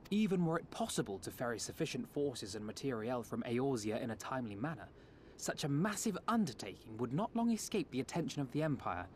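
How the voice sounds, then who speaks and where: A man's voice: medium pitch, big pitch swings, brisk, darkish tone, slight background noise, lightly acted. A man playing a composed, scholarly strategist in a fantasy game, speaking precisely and formally with a wry edge. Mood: measured explaining.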